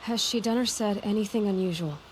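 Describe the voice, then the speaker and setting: Woman acting a concerned young tracker, medium pitch. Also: a little fast, slight background noise, lightly acted, poor audio. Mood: calm, probing. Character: calm, concerned young female tracker questioning a stranger. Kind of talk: video game character acting.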